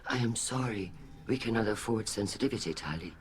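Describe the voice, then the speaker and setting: An actor playing an older alien admiral in a video game, grave, guarded and measured. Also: male voice, fairly low pitch, natural voice. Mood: grave, earnest. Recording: clear background noise.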